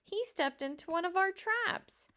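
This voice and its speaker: Woman as excitable little girl, high shrill voice, bossy, urgent, storybook character. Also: a little slow, heavily acted. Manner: shrieking, urgent, exclamatory.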